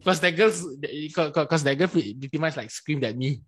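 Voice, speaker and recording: A man's voice: fairly high pitch, animated, a little fast, a bit bright. Young Malaysian man, casual, stammering. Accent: Malaysian English. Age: young adult. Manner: rambling, stammers and repeats words. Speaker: podcast co-host. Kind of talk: casual chat.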